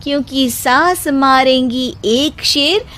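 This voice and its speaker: Woman playing a high-pitched, spirited young daughter-in-law. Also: slow pace, a bit bright. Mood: cheeky, triumphant. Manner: emphatic, recites mocking rhymes.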